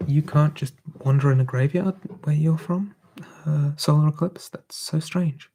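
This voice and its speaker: ASMR streamer, gravelly mellow whisper, close mic, easygoing chat. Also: medium pitch, a little slow. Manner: soft, drifting between whisper and quiet voice, musing.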